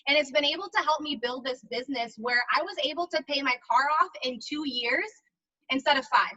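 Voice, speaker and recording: Woman with a high, bright voice, encouraging testimonial, distant lo-fi mic. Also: a little fast. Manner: grateful, encouraging. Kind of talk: personal testimonial on a group call. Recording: distant, dull lo-fi sound.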